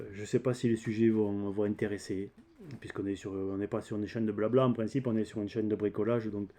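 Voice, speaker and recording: Male DIY vlogger, southern French accent, soft-edged relaxed tone, unscripted and hesitant delivery that wanders as he explains. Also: mid-range voice. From south of France. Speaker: DIY video channel host. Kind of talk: casual unscripted vlog talk.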